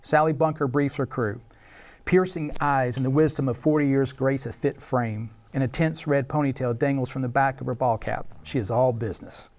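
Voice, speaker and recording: A man's voice: mid-range voice, fairly even intonation, normal speed, a little mellow. A man reading scene descriptions and stage directions as the narrator of a screenplay table read.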